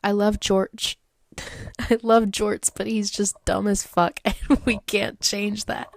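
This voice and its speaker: Stuffy-nosed woman with a gravelly voice. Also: mid-range voice, flat delivery, slow pace, dry recording, clean studio sound. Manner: chatty and rambling, many likes and fillers. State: stuffed up, congested. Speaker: podcast host. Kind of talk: casual solo podcast, reading online advice posts aloud.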